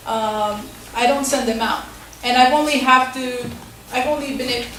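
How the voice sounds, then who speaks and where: A woman's voice: on the high side, steady tone, normal speed, a bit bright, slight background noise. A young woman teacher and community organizer giving a casual forum talk, full of fillers and tag questions. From Tucson, Arizona. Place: school hall. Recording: echoey hall, far from the mic.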